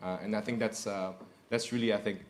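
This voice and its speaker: A man's voice: fairly low pitch, fairly even intonation, brisk. A man, speaking earnestly as a corporate executive on a panel and repeating phrases for emphasis. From Indonesia. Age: 30s. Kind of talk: panel discussion.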